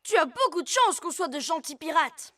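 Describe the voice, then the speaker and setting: Casting: bossy small cartoon puppy boy, piercing high voice, keen and commanding, faint music behind. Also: big pitch swings, normal speed. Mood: playful. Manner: childlike, commanding. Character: bossy, eager little boy puppy playing pirates.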